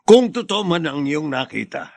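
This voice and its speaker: A man playing a stubborn middle-aged father in a Filipino radio drama, speaking with stern, measured authority. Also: fairly high pitch, very animated, gravelly, darkish tone, dry recording, full character voice. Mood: guarded, irritated.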